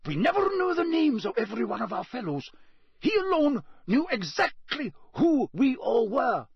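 A man's voice: very high-pitched, big pitch swings, creaky, slightly rough, no room sound, voice pushed up, exaggerated voice. A man in a storybook reading, voicing an unctuous, panicky prisoner who pleads breathlessly and stammers. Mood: nervous, hurried. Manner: breathless, hurried, stammering, ingratiating.

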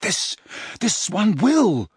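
A man voicing a frightened teenage boy wizard in an audiobook, urgent and coaxing with a strained, breathy voice. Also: sits high, big pitch swings, measured pace, pushed and bright, dry recording, studio quality, voice pushed up, full character voice. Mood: desperate urging.

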